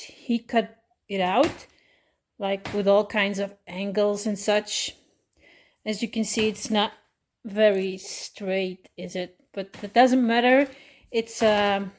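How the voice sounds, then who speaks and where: A woman with a Dutch accent casually explaining a craft project, pausing often with fillers and correcting herself as she goes. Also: medium pitch, slight background noise. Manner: hesitant, many pauses and fillers, self-correcting. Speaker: hobby crafter showing a miniature build. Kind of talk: casual show-and-tell explanation.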